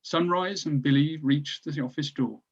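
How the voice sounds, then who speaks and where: A man's voice: fairly high pitch, normal speed, slightly rough. Male narrator, slightly dull recording. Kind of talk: scripted narration of stage directions.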